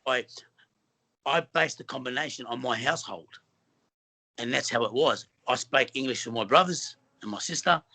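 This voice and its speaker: A man's voice: mid-range voice, normal speed, bright, forceful tone. A middle-aged Australian man from Western Sydney, speaking as a film actor guest in an earnest, rambling way with many fillers and restarts. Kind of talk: casual interview conversation.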